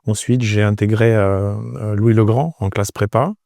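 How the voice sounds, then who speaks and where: A man in his forties from Romania, answering interview questions conversationally, with frequent pauses and repeated words. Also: on the low side, steady tone, dark tone, dry recording, clean studio sound. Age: 40s. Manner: hesitant, pausing often with repeated words. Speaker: entrepreneur, interview guest.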